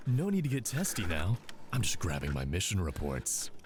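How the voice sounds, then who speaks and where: A man's voice: sits low, huge pitch swings, moderate pace, slight background noise. A man acting in an audio drama as a smug, condescending squad officer who taunts with mock sweetness. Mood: smug, taunting.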